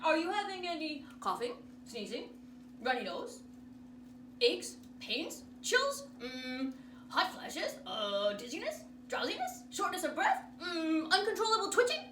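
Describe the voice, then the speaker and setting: A pompous, bumbling storybook doctor, acted out by a woman, who hems and haws in exaggerated fashion. The pitch swings widely, and the sound is distant and roomy. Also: big pitch swings, unhurried, fairly bright tone, full character voice. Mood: pompous, inquisitive. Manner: hemming and hawing, pompous, exaggerated. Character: fussy, muttering male doctor in a children's storybook. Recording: roomy, distant mic, noisy background.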